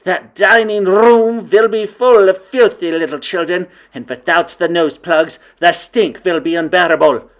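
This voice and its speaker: A woman voicing a tyrannical female witch leader who barks orders in a shrill, screeching voice. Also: unhurried, hardly any pauses, lightly acted. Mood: commanding. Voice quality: screeching, commanding.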